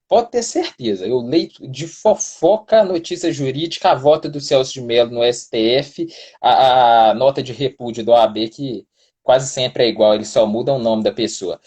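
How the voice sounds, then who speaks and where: Husky, mellow man joking through a live chat. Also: mid-range voice. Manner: self-deprecating, joking, wordy. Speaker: criminal defense lawyer, guest. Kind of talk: casual live-stream interview. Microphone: phone on a live stream.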